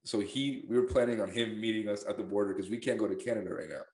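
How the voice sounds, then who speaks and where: Laid-back young man, low voice, echoey room. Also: fairly even intonation, fast talker, a little mellow. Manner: laid-back, swears, laughs easily. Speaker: podcast host. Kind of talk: casual chat.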